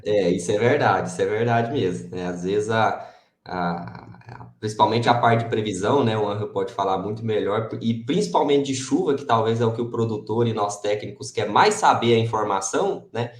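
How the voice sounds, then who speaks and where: Low male guest, agreeable and hesitant, with roomy echo on the recording. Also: normal speed. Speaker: agronomy researcher guest. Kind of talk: casual interview.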